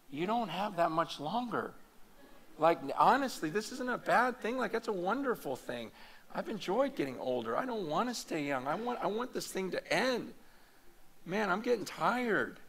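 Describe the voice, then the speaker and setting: Lively bright-voiced male preacher. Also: high voice. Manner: animated, loose and conversational, with many fillers and restarts. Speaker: pastor. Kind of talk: conference sermon. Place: large hall or meeting room before an audience.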